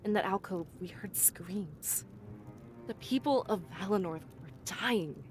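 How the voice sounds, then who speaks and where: Actress as doubtful fantasy game heroine, urgent, mid-pitched voice, music playing under her. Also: a little slow, lightly acted. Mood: disturbed. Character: doubtful, urgent female adventurer in a fantasy tale. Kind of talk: video game voice acting.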